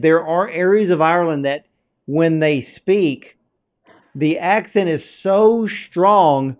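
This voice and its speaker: A man hosting a film interview, asking questions in an eager, chatty way and often restarting his sentences. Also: on the high side. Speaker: film podcast host. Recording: tinny phone-like line.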